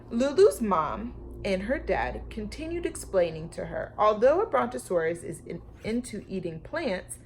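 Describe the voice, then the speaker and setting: A woman reading a story aloud to children like a teacher, expressive and emphatic, with dramatic pauses and questions to her young listeners. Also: medium pitch, slight background noise, poor audio.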